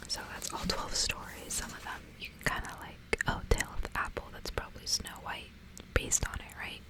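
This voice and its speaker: A woman speaks in a hushed, breathy whisper throughout, in the style of an ASMR host. Her delivery is casual and unhurried in structure, drifting loosely between chat and reading. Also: rough recording. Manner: casual, rambling.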